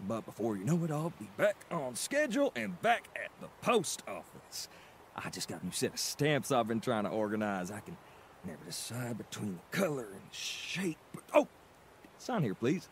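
A man's voice: medium pitch, big pitch swings, normal speed, slight background noise. An actor playing a clumsy, cheerful adult mailman who talks in a folksy drawl and stammers when he gets flustered. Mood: apologetic. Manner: flustered, stammers under pressure. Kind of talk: cartoon voice acting.

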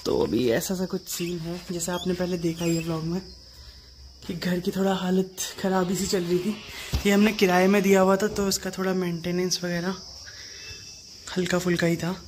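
A man's voice: high-pitched, fairly even intonation, moderate pace. A man, giving an informal vlog tour, chatting straight to viewers. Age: 20s. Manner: informal, conversational, addressing viewers. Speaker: vlogger. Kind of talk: casual vlog tour narration. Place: home rooms, courtyard and small shop. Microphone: phone at arm's length. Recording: handheld vlog with clear background noise.

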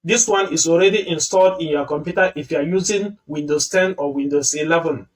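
A man walks through a software tutorial one click at a time, in a calm and patient manner. His voice sits a little high for a man. He sounds some distance from the computer mic. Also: fairly quick. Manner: step-by-step, patient explaining. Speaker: tutorial host. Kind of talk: screen-recorded software tutorial.

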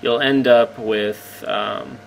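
Man, mid-pitched, slightly croaky, rambling self-deprecating game commentary, faint fan hum. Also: steady tone, slow pace, low-quality recording. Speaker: gameplay commentator. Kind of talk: casual gameplay commentary.

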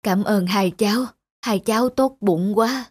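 A woman's voice: medium pitch, unhurried, a little mellow, slightly rough, no echo, studio quality. A weary, frail old beggar woman. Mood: weary, grateful. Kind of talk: Vietnamese animated folk tale voice acting.

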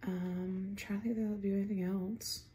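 A young adult woman chatting casually to her phone camera in a home kitchen, sounding tired, unhurried and rambling. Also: mid-range voice, little pitch movement, mellow, slight background noise. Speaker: vlogger. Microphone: phone front camera at arm's length.

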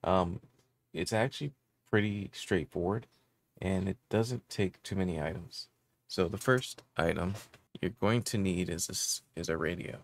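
A man's voice: deep voice, measured pace. A man in his thirties giving a casual how-to explanation as host of a tech tutorial. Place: small indoor room with electronics gear.

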